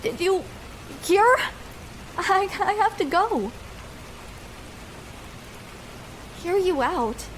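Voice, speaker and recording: A woman's voice: very high voice, very animated, slow, a little hiss or hum, full character voice, poor audio. A young woman playing a shy, vulnerable escort in an intimate ASMR roleplay, speaking hesitantly and tenderly with small stammers. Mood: flustered.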